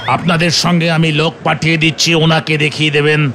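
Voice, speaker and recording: A man's voice: a little high, completely flat delivery, a little fast, lightly acted. A man voicing a stern, businesslike bank officer in a comic cartoon. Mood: firm officious. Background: music.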